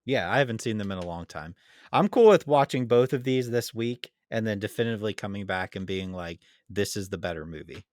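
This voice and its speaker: A man co-hosting a podcast in relaxed, casual conversation, agreeable and plain-spoken. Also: on the low side, fairly quick, dry recording, studio quality. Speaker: podcast co-host. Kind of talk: casual chat.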